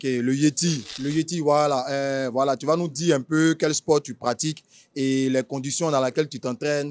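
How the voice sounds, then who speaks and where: A man's voice: medium pitch, a little mellow, no room sound. A man with an Ivorian accent conducting an informal street interview, slangy and prodding.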